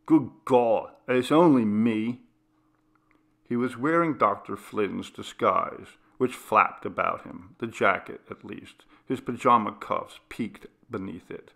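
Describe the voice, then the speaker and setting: A man reading a ghost story aloud, in a slow, measured and grave way. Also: fairly low pitch, vocal fry, pushed and bright. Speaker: reader. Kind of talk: scripted ghost story narration.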